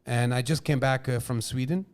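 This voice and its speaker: A man from Portugal speaking English with a Portuguese accent, a restaurant entrepreneur telling lively stories as a guest, often restarting and repeating phrases. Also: medium pitch, normal speed. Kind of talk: casual storytelling interview.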